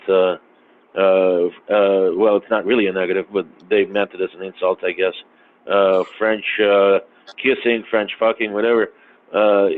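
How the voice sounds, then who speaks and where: Guy chatting on a podcast, halting, lots of uhs, flat voice, tinny sound w faint hiss. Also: lowish voice. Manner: halting, many fillers and restarts. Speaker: podcast guest. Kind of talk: casual conversation.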